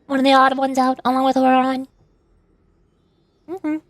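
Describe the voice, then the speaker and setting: A woman's voice: high voice, little pitch movement, normal speed, no echo. A woman streaming a game, chatting casually and often wondering aloud in questions. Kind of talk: casual livestream chat and reading game dialogue aloud.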